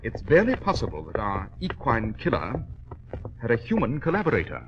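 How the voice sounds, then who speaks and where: Keen British detective, old radio sound. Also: male voice, medium pitch, wildly swooping pitch, a little fast, very noisy. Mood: keen, assured. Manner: clipped, assured, precise, formal. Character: keen, commanding English gentleman detective. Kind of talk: 1940s radio drama acting. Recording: dull vintage radio recording.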